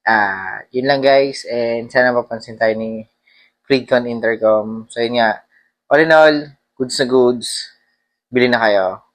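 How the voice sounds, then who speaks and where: A man's voice: mid-range voice, slow pace, pauses often, low-quality recording. A man giving a casual vlog review, talking informally and rambling with hesitations. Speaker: motorcycle vlogger. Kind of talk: casual vlog product review, mixing Tagalog and English.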